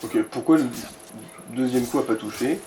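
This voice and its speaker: A man's voice: mid-range voice, moderate pace, mellow, soft background music. A man giving directions and advice in a casual gaming chat, informal and peppered with crude slang.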